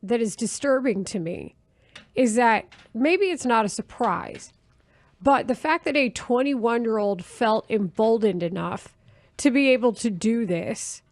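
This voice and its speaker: A woman's voice: a little high, expressive, a little slow, no echo. American woman hosting radio, close dry sound. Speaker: sports radio host. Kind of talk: conversational sports radio interviewing.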